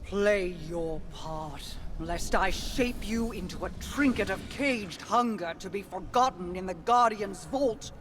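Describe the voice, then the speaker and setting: A woman's voice: mid-range voice, slow, few pauses, over music, close to an everyday voice. A woman playing a proud, cold queen in a video game, commanding and haughty, with threat in her words. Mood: angry, threatening. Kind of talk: dramatic video game dialogue. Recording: noisy game ambience behind voice.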